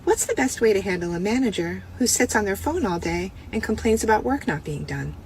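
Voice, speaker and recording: Animated woman giving workplace advice. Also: mid-range voice, a little fast, a little hiss or hum, low-quality recording. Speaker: advice giver on management.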